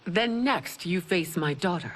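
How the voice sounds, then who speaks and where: A woman's voice: fairly low pitch, animated, measured pace, background noise. A woman playing an imperious middle-aged empress in a video game, speaking in a formal, haughty way. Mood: formal, commanding. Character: regal, stern middle-aged empress mother, commanding and imperious. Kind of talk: video game cutscene acting. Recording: loud music behind the voice.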